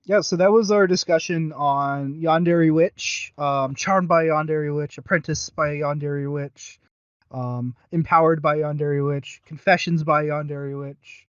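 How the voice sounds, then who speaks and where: A man with a fairly high voice hosts a casual podcast review. He talks in a halting, self-deprecating way, recorded very dry with a slightly muffled, lo-fi sound. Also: fairly even intonation, smooth, no echo. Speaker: podcast host. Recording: dry but dull lo-fi audio.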